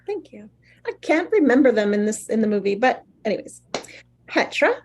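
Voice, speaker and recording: A woman's voice: a little high, very animated, normal speed, slight background noise. A woman casually hosting a group event and handing over to each participant. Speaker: host of a live table read.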